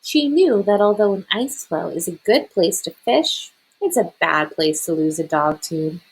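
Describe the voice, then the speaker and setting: A woman reading a children's picture book aloud as a storyteller, expressive and deliberate. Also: lowish voice, husky, low-quality recording.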